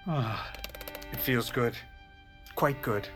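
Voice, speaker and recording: A man playing an aging American president in an audio drama, proud yet uncertain, halting and deliberate. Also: medium pitch, music in the background, natural voice. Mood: pleased. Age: older.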